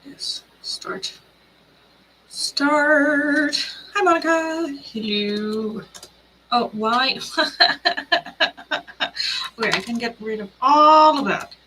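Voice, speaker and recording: A woman's voice: high voice, extremely slow, long pauses, sharp tone, a little hiss or hum, rough recording. A woman hosting a casual tarot live stream, chatting in a bubbly, rambling way with lots of fillers.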